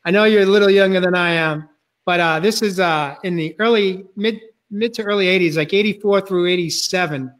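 A man's voice: sits high, fairly quick. A man in his fifties from New England with a New England accent, hosting a casual video-call interview, rambling with many fillers over a webcam at home. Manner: rambling, filler-heavy, warm prompting. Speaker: podcast host. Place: home room, indoors.

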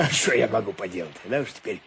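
An actor playing a flustered ship's captain and doting uncle, stammering and fretful, in a Russian radio play. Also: male voice, fairly low pitch, gravelly, background noise, full character voice. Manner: stammering, agitated.

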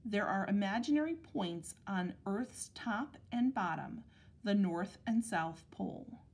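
Slow-reading woman teacher, children's picture book. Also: mid-range voice, slight background noise. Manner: measured, deliberate phrasing. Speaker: elementary school teacher reading aloud. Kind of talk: read-aloud picture book narration for children.

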